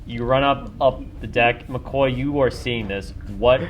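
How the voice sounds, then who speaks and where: A man's voice: medium pitch, a little slow, highs cut off, background noise. A man acting as game master, improvising narration for a tabletop role-playing game.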